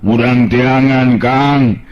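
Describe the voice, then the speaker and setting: A man's voice: medium pitch, fairly even intonation, extremely slow. A storyteller voicing a timid young village man with a nasal, lisping way of speaking. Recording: dull lo-fi sound.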